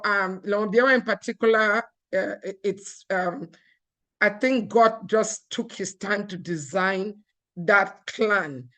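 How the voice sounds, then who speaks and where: A woman's voice: medium pitch, fairly bright tone. A woman from Cameroon giving an earnest seminar talk, with frequent fillers and restarts. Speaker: guest speaker.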